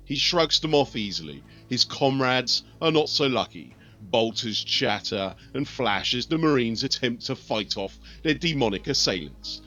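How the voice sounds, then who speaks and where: A male narrator reads a dark sci-fi war tale in a grave, measured way. His voice is bright and clear. Faint music plays behind him. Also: mid-range voice.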